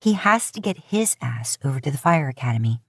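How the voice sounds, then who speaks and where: A woman's voice: very deep voice, big pitch swings, fairly quick, breathy edge, dry recording. A woman narrating scripted romantic fiction as an audiobook-style storyteller, recorded close to the mic.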